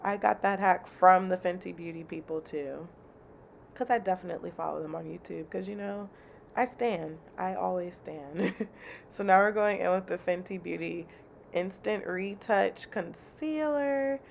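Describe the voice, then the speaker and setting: Young woman, chatty and slangy, congested with a fry-heavy voice, faint background hiss. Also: lowish voice, measured pace. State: congested, slightly raspy. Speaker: beauty vlogger. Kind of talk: casual makeup tutorial chat.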